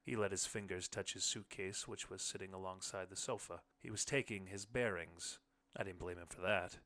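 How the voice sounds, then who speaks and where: A man narrating a literary short story in the first person, with measured phrasing. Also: low-pitched, fairly even intonation, fairly bright tone. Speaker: audiobook narrator.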